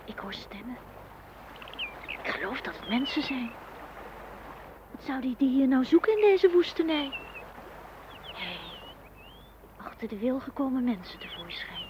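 High-voiced, forlorn blade of grass. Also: female voice, very animated, unhurried, long pauses. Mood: hushed, wary. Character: forlorn blade of grass, alone in a mown field. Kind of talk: Dutch radio play acting. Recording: dull, faintly noisy old radio recording.

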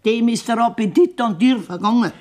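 A woman's voice: on the high side, brisk, a little mellow, lightly acted. An actress playing an indignant old farm grandmother in a German radio drama, accusing and disapproving in Bavarian dialect. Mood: scornful, indignant.

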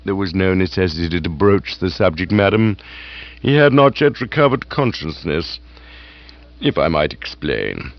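A man reading aloud voices a calm, deferential male valet who speaks in a measured, formal way. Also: low voice, no echo, exaggerated voice. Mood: explaining. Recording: dull, dry recording.

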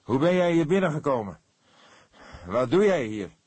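A man voicing a grumpy, weary older police detective with a gruff, stern manner, in an audiobook. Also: a little high, big pitch swings, moderate pace, a little mellow, no echo, voice pushed up. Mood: sharp, stern. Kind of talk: audiobook character dialogue.

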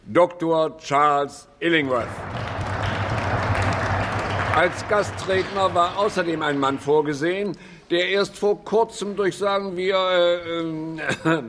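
A pompous institute director in a radio drama. His voice is fairly high and formally measured, with a stern edge. Faint noise sits behind him. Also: fairly bright tone. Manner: formal, pompous, deliberate articulation. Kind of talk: theatrical German radio drama acting.

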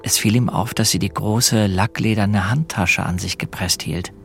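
A man's voice: lowish voice, moderate pace, vocal fry, loud music behind the voice. A man playing a brooding, forbearing bookseller husband in pensive radio drama monologue. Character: brooding, forbearing married bookseller dwelling on his wife.

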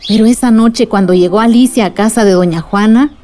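An actress in a radio drama playing an earnest, patient adult who explains village struggles to a child. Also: female voice, on the high side, fairly quick, natural voice. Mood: patient storytelling.

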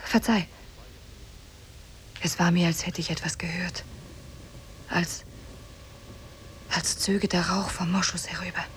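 An actress playing a captive wife who slyly feigns love, speaking in a tender, breathy, theatrical way in a 1950s German radio drama. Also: female voice, fairly low pitch, little pitch movement, slow, long pauses, slight background noise. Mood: seductive, scheming. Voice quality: breathy, very husky, often whispering. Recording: old 1950s radio play on cassette.

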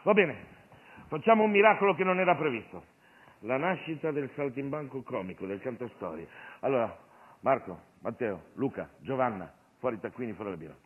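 A man's voice: mid-range voice, expressive, brisk, husky, phone-quality sound. Guy doing a cheeky bossy jesus, mock preaching on stage, thin radio broadcast sound. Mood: excited, bossy. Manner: commanding, colloquial, mock-preaching. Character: irreverent streetwise Jesus, bossy, jocular master miracle-worker. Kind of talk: theatrical comic monologue.

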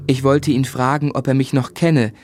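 A man acts the first-person narrator of a radio drama, an earnest young gentleman. His voice sits at medium pitch and he tells the tale in measured, sensitive, literary phrases. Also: little pitch movement, a little hiss or hum, close to an everyday voice. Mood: sombre.

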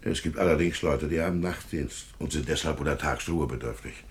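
A deep-voiced police chief inspector in a German radio drama. He is officious and dryly sardonic, phrasing his questions with condescending insinuation. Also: a little fast. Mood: officious, needling. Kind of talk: German radio play acting.

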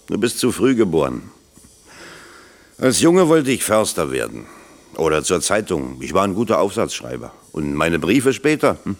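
An actor in a German radio play playing a kindly, grumbling older locksmith who talks plainly and colloquially. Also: male voice, low-pitched. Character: kindly, grumbling, self-deprecating older male factory locksmith.

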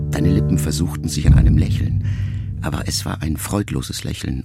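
An actor playing a melancholy, reflective writer son in a radio drama, speaking in measured, intimate, halting phrases as if to his mother. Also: low-pitched, vocal fry, mellow, heavily acted.

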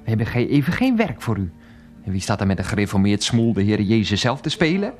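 An actor playing an irritable, evasive husband in a Dutch radio play, with a sarcastic, grumbling manner. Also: male voice, lowish voice, sing-song, quick pace, a little mellow. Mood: grumbling, resentful. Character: irritable, evasive philandering husband, sacked and resentful. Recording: music behind the voice.

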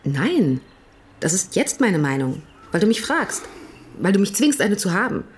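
Radio drama: candid, long-suffering wife, lively intonation at medium pitch, measured but pointed. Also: female voice, slight background noise. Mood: frank. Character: frank, exasperated wife of a struggling writer. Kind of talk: radio play acting.

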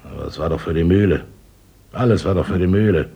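A man's voice: low voice, quick pace, vocal fry, warm tone, breathy edge, heavily acted. An older actor playing a resentful miller, a former journeyman who grumbles and hesitates.

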